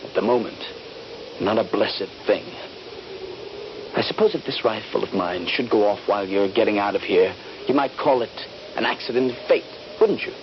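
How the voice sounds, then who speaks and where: An actor playing a trapped, wounded Union soldier, frightened and bitter, with intense, deliberate dramatic phrasing in a radio drama. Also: male voice, lowish voice, natural voice. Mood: bitter, accusing. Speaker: actor narrating and playing the lead. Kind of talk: radio drama acting with first-person narration. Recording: dull, noisy old radio broadcast.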